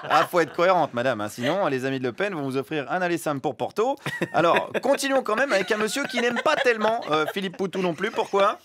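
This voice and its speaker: Fast, lively mocking radio columnist man. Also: high-pitched. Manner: ironic, mocking, quick asides. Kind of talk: satirical radio chronicle with street interviews. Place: radio studio.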